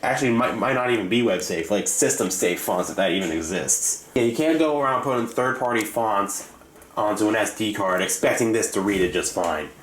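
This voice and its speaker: A man giving an unscripted, casual show-and-tell as a hobbyist video host, in a halting, rambling way with self-corrections. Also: on the low side, animated, a bit bright. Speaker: hobbyist video host reviewing his radio collection. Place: indoors in rooms at home. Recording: echoey room with faint background hiss.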